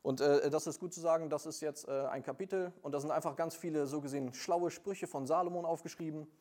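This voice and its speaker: Hesitant young preacher with a mellow voice. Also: male voice, a little high, steady tone, fast talker. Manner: hesitant, many fillers, plain earnest delivery. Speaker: lay preacher and missionary. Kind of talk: informal church sermon with prayer.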